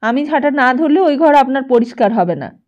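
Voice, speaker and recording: Woman reading as a reproachful, high-voiced mother. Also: animated, normal speed, a little mellow, muffled recording. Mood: firm, chiding. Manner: firm, questioning, chiding. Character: plain-spoken mother, reproachful, dutiful household woman.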